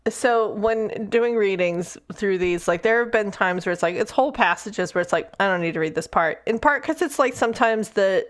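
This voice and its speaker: A woman's voice: mid-range voice, moderate pace, few pauses. A woman co-hosting a podcast, chatting casually about books in a candid, irreverent, sweary way. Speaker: podcast co-host. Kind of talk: casual chatty book discussion.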